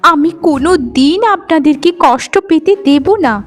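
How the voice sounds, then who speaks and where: A woman voicing a gentle, devoted young bride in a cartoon, tender, earnest and pleading. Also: high voice, normal speed, faint music behind, close to an everyday voice. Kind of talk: melodramatic cartoon acting.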